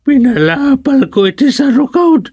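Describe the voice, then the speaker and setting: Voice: sits high, big pitch swings, normal speed, darkish tone, husky, dry recording, clean studio sound, full character voice. An actor playing a confused, stubborn elderly grandparent in an Ilocano radio comedy, rambling in a childlike, whiny way. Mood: whiny, insistent. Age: older.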